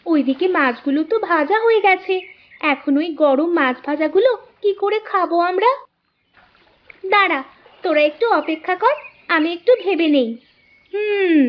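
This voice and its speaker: A performer voicing a bossy, quick-witted village girl, the big sister in a cartoon. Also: extremely high pitch. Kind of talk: cartoon voice acting. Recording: dull lo-fi audio.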